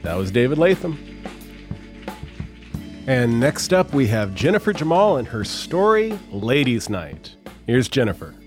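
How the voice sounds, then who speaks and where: A man hosting a live storytelling show, making loud, projected stage announcements to introduce performers. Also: medium pitch, very animated, measured pace, pauses often, faint music behind.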